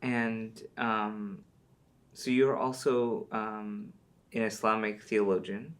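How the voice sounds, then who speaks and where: A man's voice: fairly low pitch, flat delivery, takes it slowly. A man hosting an interview podcast who asks short questions and encourages his guest to keep talking. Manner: brief, encouraging prompts.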